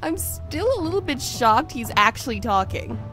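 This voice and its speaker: A woman's voice: fairly high pitch, very animated, normal speed, fairly bright tone, faint music behind. A woman voicing a bossy, affectionate young female mage who teases and scolds. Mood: teasing. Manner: teasing, scolding.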